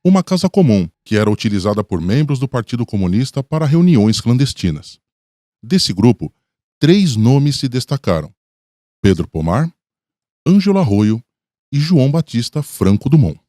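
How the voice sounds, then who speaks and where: A man's voice: medium pitch, big pitch swings, normal speed, mellow, dry recording, clean studio sound. A man with a Brazilian accent giving scripted narration for a radio documentary.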